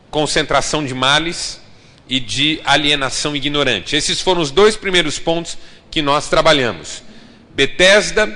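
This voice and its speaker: A man preaches to a congregation and speaks to them directly. His voice sits a little high and sounds bright and forceful. A long reverb from the large hall trails each phrase. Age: 30s. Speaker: pastor. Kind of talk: sermon.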